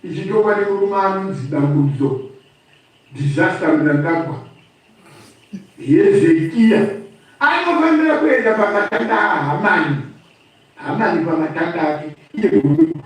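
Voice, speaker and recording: A man's voice: high-pitched, very animated, normal speed, long pauses. A man with a Zimbabwean accent giving an impromptu political address, speaking emphatically like a storyteller and repeating phrases for effect. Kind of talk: impromptu political address, mixing two languages. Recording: very echoey room, distant microphone.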